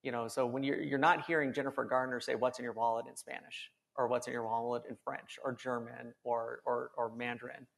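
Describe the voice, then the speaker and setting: A man speaking on a conference panel, quick and enthusiastic, often repeating words as he thinks. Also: mid-range voice, flat delivery, creaky, fairly bright tone. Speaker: panel speaker, company executive.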